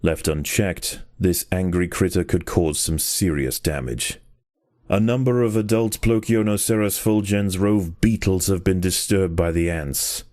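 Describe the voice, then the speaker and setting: A man performing a solemn nature documentary narrator for a game, measured and ominous in delivery. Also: low-pitched, fairly even intonation, a little mellow, no room sound, clean studio sound. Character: grave nature documentary narrator of ant wars.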